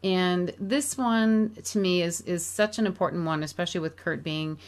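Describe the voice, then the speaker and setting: Earnest middle-aged woman, lowish voice. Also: moderate pace. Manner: earnest and heartfelt, with some restarts. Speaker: author and musician promoting her book. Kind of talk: casual radio interview chat.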